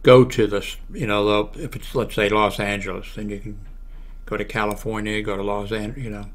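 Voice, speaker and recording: Low-voiced older American man, halting. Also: fairly even intonation. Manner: halting, thinking aloud while clicking through pages. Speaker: video blogger giving a how-to demonstration. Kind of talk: casual unscripted screen walkthrough. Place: small room at home, indoors. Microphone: large desktop microphone close to the mouth.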